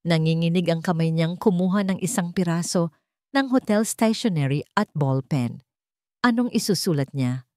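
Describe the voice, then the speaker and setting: A Filipino woman reading a scripted romance story as narrator, with dramatic, deliberate phrasing. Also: fairly low pitch, a little mellow, dry recording, studio quality.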